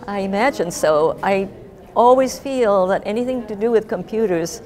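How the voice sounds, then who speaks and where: An older woman giving casual interview answers in a reflective, gently humorous way. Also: medium pitch, moderate pace. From Japan. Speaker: guest, artist and writer.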